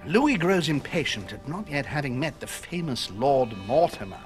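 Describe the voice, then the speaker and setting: A suave, scheming gentleman in a dramatic video game. His fairly high voice swoops extravagantly through formal, insinuating courtesies, with music clearly playing underneath. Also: very animated, normal speed. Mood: sly, needling.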